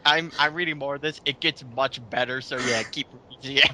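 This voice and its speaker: A man's voice: mid-range voice, steady tone, brisk, background noise. A man ranting casually in a group commentary, scornful and exclamatory, swearing as he goes. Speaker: co-host of a mocking commentary.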